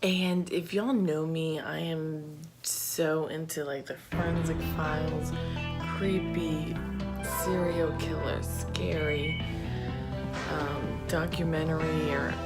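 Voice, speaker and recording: Low-voiced woman, unhurried casual storyteller. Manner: informal and conversational, slangy asides. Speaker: true crime vlogger. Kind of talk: casual true crime storytelling.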